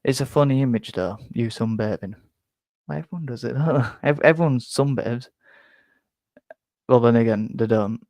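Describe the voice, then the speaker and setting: A young man with a Yorkshire accent, slightly nasal and flat, chatting casually on a live stream, rambling and stumbling over repeated words. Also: mid-range voice, normal speed, pauses often, no echo, studio quality. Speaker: football fan streamer reading chat.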